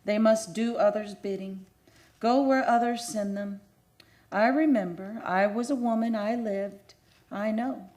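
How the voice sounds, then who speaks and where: An actress as a slow, solemn ghostly woman spirit. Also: medium pitch, darkish tone, lightly acted. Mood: solemn longing. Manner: solemn, archaic, incantatory phrasing. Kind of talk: old-time radio play acting. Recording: music under the voice.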